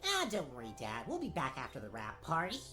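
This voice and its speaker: A woman's voice: very deep voice, wildly swooping pitch, brisk, music in the background, exaggerated voice. A woman playing a cheerful, dim, chattering little boy in a comedic fairy-tale radio play. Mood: breezy, upbeat.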